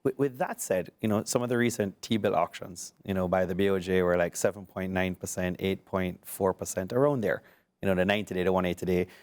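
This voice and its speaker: A man's voice: deep voice, fairly even intonation, a little fast. A man hosting a casual interview, conversational and loose, leaning on filler phrases. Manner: conversational, leans on fillers like "you know". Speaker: interview host.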